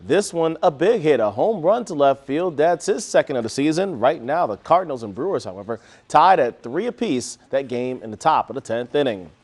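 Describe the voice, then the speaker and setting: A man reading a scripted sports newscast with highlight commentary. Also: very animated, normal speed, few pauses, darkish tone.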